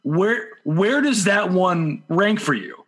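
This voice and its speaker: A man's voice: sits high, animated, takes it slowly, fairly bright tone. A man co-hosting a podcast, asking questions in a casual, chatty interview style. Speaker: podcast co-host.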